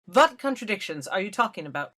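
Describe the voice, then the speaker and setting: A woman's voice: medium pitch, sing-song, quick pace, a bit bright. A woman playing a haughty, scornful, perfectionist young prosecutor in a game fandub, with an imperious manner. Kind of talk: anime game fandub acting.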